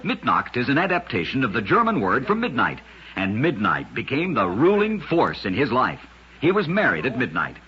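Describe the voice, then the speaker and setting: A man narrating short scripted stories as host of a vintage radio program, with a husky, gritty voice and a dramatic storyteller's delivery, on an old radio recording. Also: medium pitch, huge pitch swings, normal speed, highs cut off.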